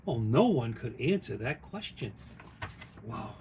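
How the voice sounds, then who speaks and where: A man reading their own picture book aloud in an expressive, folksy way, with chatty asides along the way. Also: medium pitch, a little hiss or hum. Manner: folksy, expressive, pausing to comment on the story.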